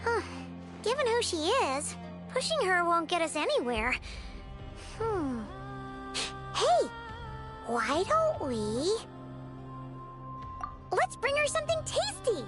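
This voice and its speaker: A woman voicing a tiny, excitable, childlike fairy companion in a video game, squeaky and chirpy, full of exclamations. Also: slow pace, long pauses, over music, exaggerated voice. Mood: chatty, scheming. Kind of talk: animated video game voice acting.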